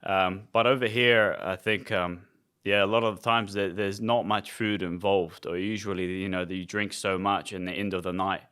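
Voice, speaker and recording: A man's voice: low-pitched, steady tone, moderate pace, fairly bright tone, clear, resonant tone. A young adult man from Iraq co-hosting a podcast, explaining things conversationally with frequent fillers. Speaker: podcast co-host, nutrition coach. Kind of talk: casual podcast chat.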